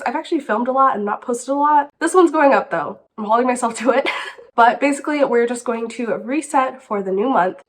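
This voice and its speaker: A young woman with a stuffy, congested nose chats casually as host of her book channel, giggling and saying "like" often. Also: on the high side. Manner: chatty, giggly, says "like" often. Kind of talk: casual vlog chat.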